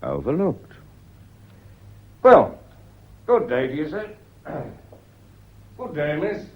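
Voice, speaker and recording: A sly British police inspector in a radio play, with a husky voice that swoops up and down. He is politely insinuating, all false deference, heard on a muffled radio recording. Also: a little high, wildly swooping pitch, slow, heavily acted. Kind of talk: British radio drama acting.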